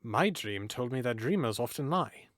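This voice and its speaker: An actor playing a witty, mocking young nobleman in an audio drama, playful and theatrical, with hushed and loud moments. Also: mid-range voice, animated, normal speed, dry recording, studio quality, close to an everyday voice.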